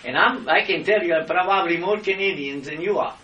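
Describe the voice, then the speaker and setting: Middle-aged Czech-accented man, impassioned and lecturing, slightly high, recorded far off in an echoey room. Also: a little fast, pushed and bright. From Czech Republic. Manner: impassioned, lecturing, non-native grammar. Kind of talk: heated argument with officials. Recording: echoey room, mic at a distance.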